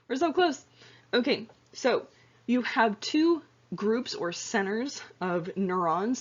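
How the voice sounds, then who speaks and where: A woman's voice: lowish voice, highs cut off. Chatty woman lecturing, tired voice. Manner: casual, chatty lecturing with many fillers and self-corrections. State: voice getting tired and hoarse. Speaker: anatomy and physiology instructor. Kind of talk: recorded slide lecture.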